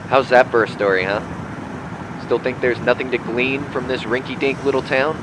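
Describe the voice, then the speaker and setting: An actor playing a cocky, needling boss who briefs a young intern in a conversational radio drama. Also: male voice, medium pitch, fairly even intonation, moderate pace, background noise, lightly acted. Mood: smug, teasing. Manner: folksy, teasing, needling.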